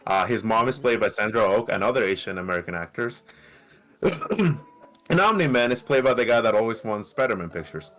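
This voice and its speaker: A man with a light Mexican accent who co-hosts a podcast. He argues in a casual, animated way, often restarting and asking "right?". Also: fairly low pitch, a little fast, poor audio. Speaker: podcast co-host. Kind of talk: casual debate.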